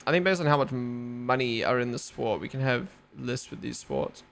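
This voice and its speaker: A man's voice: medium pitch, lively intonation, moderate pace, vocal fry, fairly bright tone. Rambling New Zealand-accented young man. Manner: rambling, filler-heavy, swears casually. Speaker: live stream host. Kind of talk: casual live watch-along chat.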